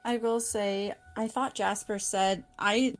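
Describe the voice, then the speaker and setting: A woman playing in a tabletop game, animated and self-deprecating as she chats with the group. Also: mid-range voice, slow pace, faint music behind. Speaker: player. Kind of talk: casual tabletop game chat.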